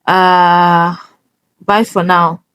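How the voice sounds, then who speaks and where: A woman's voice: lowish voice, level tone, very slow, fairly bright tone, clear, resonant tone, rough recording. A woman presenting a casual step-by-step tutorial, instructive and often checking that the listener is following.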